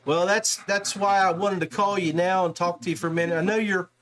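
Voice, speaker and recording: A man's voice: high voice, steady tone, fairly quick, a bit bright. A middle-aged man hosting a podcast, talking in an informal, rambling, joshing way. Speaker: podcast host. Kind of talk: casual interview.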